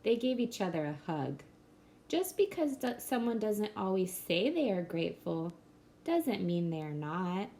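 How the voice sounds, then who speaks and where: Woman narrator, children's picture book read-aloud, slow and gentle, faint room noise. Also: medium pitch, very animated. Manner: slow, gentle storybook reading. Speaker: storyteller.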